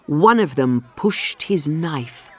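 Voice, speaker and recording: A woman reading a scripted storybook for English learners, with measured, clearly phrased storytelling. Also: low-pitched, animated. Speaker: audiobook narrator.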